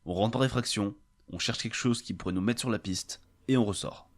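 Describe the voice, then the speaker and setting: A man's voice: fairly low pitch, a little fast. A man playing a bold, self-assured adventurer in a fantasy audio drama, speaking assertively. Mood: insistent. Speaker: voice actor in an amateur audio fiction. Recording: clear background noise and ambience.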